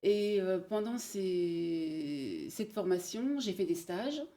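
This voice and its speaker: Hesitant woman, mellow voice. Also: lowish voice, animated. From Paris, France. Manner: hesitant, many pauses and fillers. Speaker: guest, bridal showroom manager. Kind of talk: casual interview, telling her career story.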